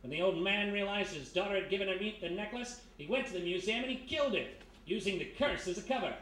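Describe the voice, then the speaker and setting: A man's voice: high-pitched, fast, fairly bright tone. A man playing a brash, wisecracking American private detective in a live 1930s-style radio play. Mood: confident, sardonic. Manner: wisecracking, slangy, explaining confidently. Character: brash American private detective, reformed thief, laying out a case. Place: live performance in a historic house. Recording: roomy live stage performance, faint noise.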